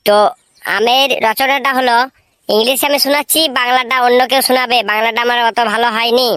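A woman's voice: fairly high pitch, fairly even intonation, a little fast, fairly bright tone, smooth, dry recording, studio quality, close to an everyday voice. A woman voicing a cheeky, eager village schoolchild in a comic cartoon skit, with a showy, muddled way of reciting. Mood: eager explaining.

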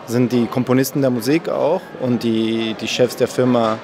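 A man in his forties, originally from Argentina, a conductor answering interview questions in a calm, measured way. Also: medium pitch, a crowd in the background. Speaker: conductor, festival guest. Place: indoor room at a festival. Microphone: handheld mic held by interviewer.

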